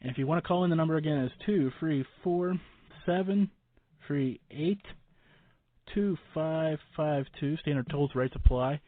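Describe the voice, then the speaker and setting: A male talk radio host with a fairly high voice, heard through a thin phone-like connection with some echo. He rambles informally and haltingly, as if chatting with listeners. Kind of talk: casual paranormal talk radio hosting. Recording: boxy phone-like line with room echo.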